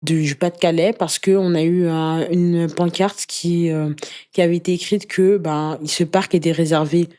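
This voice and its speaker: A woman giving personal testimony as an interview guest in casual conversation, earnest and halting, often repeating words as she searches for the next. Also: on the low side, fairly even intonation, dark tone. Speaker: interview guest, anti-racism activist.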